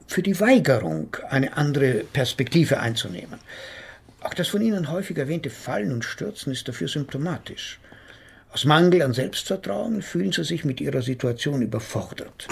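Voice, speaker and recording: An actor playing a measured, sceptical psychotherapist in a radio play, speaking in a formal, pedantic, hedging manner. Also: male voice, on the high side, creaky, slightly rough, heavily acted. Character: measured, sceptical male psychotherapist analysing his patient.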